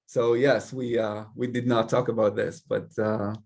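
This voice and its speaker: A man from Israel, a Hebrew speaker, talking as an interview guest in a thoughtful, measured way with frequent fillers. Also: fairly low pitch, flat delivery, darkish tone. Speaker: guest, company CEO. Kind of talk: casual interview chat.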